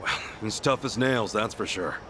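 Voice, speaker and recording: An actor voicing a brash, loyal, hot-blooded hero in an action video game, talking bluntly and casually. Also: male voice, lowish voice, normal speed, noisy background, natural voice. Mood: determined.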